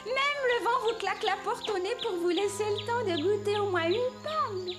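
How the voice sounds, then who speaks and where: A little peasant girl in a fairy-tale cartoon. The voice is very high and childlike, coaxing and insistent with a sly edge. Loud music plays behind it. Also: normal speed.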